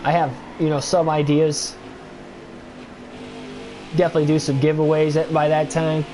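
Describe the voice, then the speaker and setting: A young man with a stuffy nose talks loosely to his livestream viewers, filling pauses with "uh" and "like" as he goes. His voice sits a little high for a man. A steady hum of noise runs under the home recording. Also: poor audio. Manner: rambling, thinking aloud with many fillers. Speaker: gaming livestreamer. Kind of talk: casual livestream chat and race commentary.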